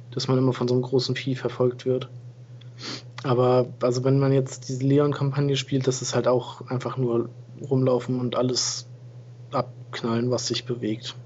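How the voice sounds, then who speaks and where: A man of middling pitch speaks in a flat, even tone as he chats on a gaming podcast. He is easygoing and often corrects himself partway through a sentence. The recording is muffled and lo-fi. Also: slight background noise. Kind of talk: casual game review chat. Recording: dull lo-fi home recording.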